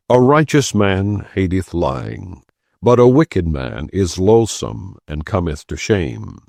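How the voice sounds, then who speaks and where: A man with a very deep, gravelly voice reads scripture aloud as an audiobook narrator. His delivery is solemn and formal, slow and deliberate, with a good deal of rise and fall in the phrasing. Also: a little mellow, no echo, clean studio sound. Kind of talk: solemn non-dramatized Bible reading.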